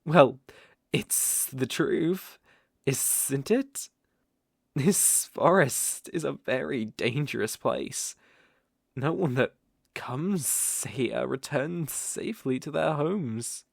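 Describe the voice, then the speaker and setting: A man voicing a coaxing, possessive naga monster in a roleplay ASMR audio drama, with a creaky, teasing delivery. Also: mid-range voice, animated, no room sound, clean studio sound, heavily acted. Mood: possessive, warning. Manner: slow, coaxing, teasing with soft chuckles. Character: smooth-talking male naga monster, coaxing, possessive predator.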